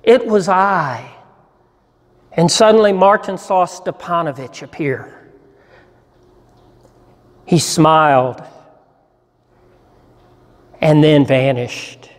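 Male storyteller, 60s, pastor, high-pitched for a man, measured dramatic delivery, reverberant hall. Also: animated. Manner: measured, deliberate storytelling with pauses. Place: church sanctuary, standing at a lectern.

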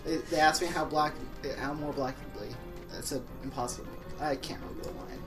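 A man's voice: on the high side, steady tone, brisk, music in the background. A young man co-hosting a let's play, switching between casual banter and mock-dramatic story reading in a sardonic way. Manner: sardonic, sweary. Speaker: let's play co-host reading fan fiction aloud.

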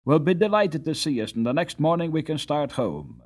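An eager teenage lad leading his friends in a storybook, read by a man. He sounds cheerful, raised in pitch and animated. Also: moderate pace. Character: eager friendly teenage boy, natural leader. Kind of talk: children's storybook character acting.

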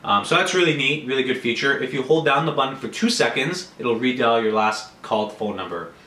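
An echoey home-room recording of a young man with a Canadian accent. His voice is bright and forward at a middling pitch. He talks conversationally and with enthusiasm, like a reviewer addressing viewers. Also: animated, a little fast. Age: 20s. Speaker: motorcycle gear reviewer. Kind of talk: casual product review to camera. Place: small furnished room at home, indoors. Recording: roomy, echoey indoor sound.

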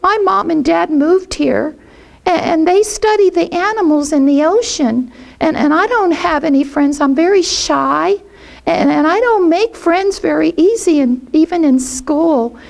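A woman voicing a shy, excited little boy who stammers in a high, eager voice. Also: unhurried, creaky, heavily acted. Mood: shy, pleading.

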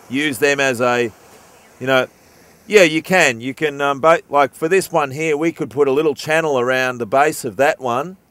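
A man with a fairly high, smooth and clear voice teaches outdoors on a sandy beach. He explains step by step, often restarting his sentences as he thinks aloud. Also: a bit bright. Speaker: permaculture teacher.

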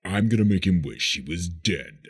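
A man playing a cold, threatening masked TV villain in slow, deliberate words, with electronic processing on the voice. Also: animated, soft background music. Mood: cold menace. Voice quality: very deep, processed, rough. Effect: pitch-lowered voice, some with distortion or chorus. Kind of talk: TV supervillain impression.

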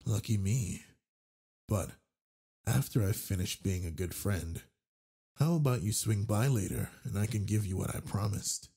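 A man playing a flirtatious, confident werewolf alpha with a husky, gravelly voice in an intimate roleplay audio drama, teasing and tender toward the listener. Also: on the low side, a little slow, mellow. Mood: playful, flirtatious.

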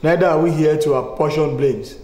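A middle-aged actor playing a measured, conciliatory uncle in a film drama. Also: male voice, fairly high pitch, steady tone, dark tone, natural voice. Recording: echoey room with faint noise.

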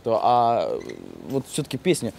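A man's voice: medium pitch, very animated, unhurried, vocal fry, a little mellow, slight background noise. A young man hosting a travel show, chatty and playful, chatting with people on an old town street and now and then breaking into song. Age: young adult. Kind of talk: travel vlog narration and street chat.

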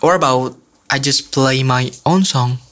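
A man's voice: mid-range voice, a little slow. A young man chatting shyly and hesitantly on a video call from a small home studio, speaking into a close desk mic. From Indonesia. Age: young adult. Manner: soft-spoken, bashful, hesitant. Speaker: singer and video chat host. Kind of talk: casual video chat between songs. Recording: guitar music in the background.

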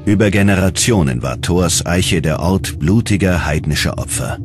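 A man's voice: low voice, moderate pace. A man delivering scripted documentary narration in a dramatic storytelling manner. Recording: prominent music under the narration. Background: loud background music.

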